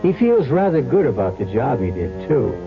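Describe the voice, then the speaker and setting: A man's voice: mid-range voice, very animated, moderate pace. Muffled male radio mystery host. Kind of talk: scripted narration, old-time radio suspense. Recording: muffled old radio broadcast. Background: music.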